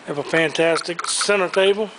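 A man's voice: on the high side, moderate pace, noisy background. A man walking through an auction warehouse and showing off the items for sale, upbeat and enthusiastic, recorded on the camera he carries. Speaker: auctioneer giving a preview walkthrough. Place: large indoor auction warehouse. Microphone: handheld camera he carries as he walks.

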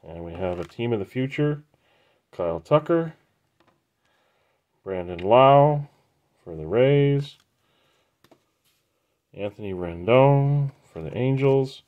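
A man hosting a trading card break, casually naming each card as he opens the packs in an unhurried, matter-of-fact way. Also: medium pitch, lively intonation, narrow, tinny audio. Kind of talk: casual pack-opening commentary.

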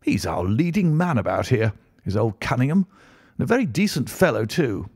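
A man voicing a hearty, unflappable country colonel with bluff, old-fashioned gentlemanly talk in an audiobook story. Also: mid-range voice, big pitch swings, moderate pace, gravelly, husky, no room sound, clean studio sound, voice pushed up, heavily acted. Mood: coolly concerned. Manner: bluff, old-fashioned upper-class phrasing.

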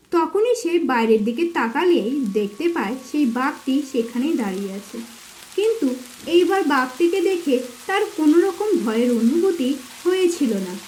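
A woman's voice: high-pitched, a little mellow, a little hiss or hum, poor audio. A woman narrating a scripted fairy tale as the storyteller of a cartoon story.

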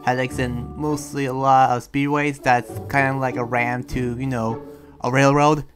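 A man playing a talkative, awkward young demon slayer in an improvised Minecraft roleplay, rambling and halting as he goes. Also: medium pitch, flat delivery, lightly acted. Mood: awkward, chatty. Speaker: roleplayer playing the lead character. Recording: music behind the voice.

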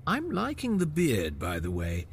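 An actor playing a wry, genial, middle-aged graying diplomat in an audio drama, conversational and teasing. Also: male voice, on the high side, wildly swooping pitch, a little slow, a little hiss or hum, full character voice.

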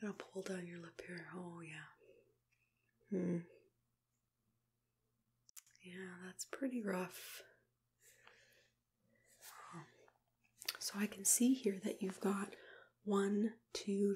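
A young woman in a soft, unhurried whisper, playing a gentle, reassuring dentist in an ASMR roleplay, close to the mic. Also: medium pitch, fairly even intonation. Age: young adult. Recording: clear background noise and room ambience.

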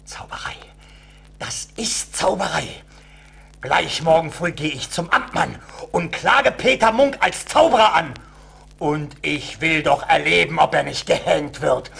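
Radio play innkeeper: angry and accusing, husky, swinging widely in pitch. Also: male voice, big pitch swings, slow pace, a bit bright, heavily acted. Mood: shocked, vindictive. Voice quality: gravelly, husky. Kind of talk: German radio play acting.

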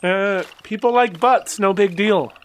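A man acting an eager, grumbling boy in an audio drama, whiny and excitable. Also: high voice, unhurried. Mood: casual, eager.

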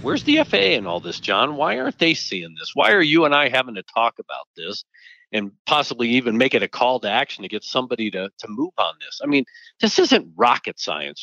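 A man's voice: medium pitch, creaky, bright, forceful tone, no room sound, lo-fi sound. A man co-hosting an aviation safety podcast, talking emphatically and pressing indignant rhetorical questions. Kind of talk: conversational podcast discussion.

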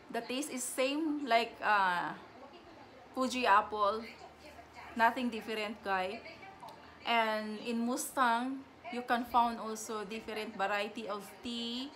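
A woman in her thirties with a Filipino accent, chatting casually to her vlog camera at home, halting and often restarting her sentences. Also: on the high side, a bit bright, slight background noise. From Philippines. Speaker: vlogger. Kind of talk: casual vlog chat mixing English and Tagalog. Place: home living room, indoors. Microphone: camera in front, seated.

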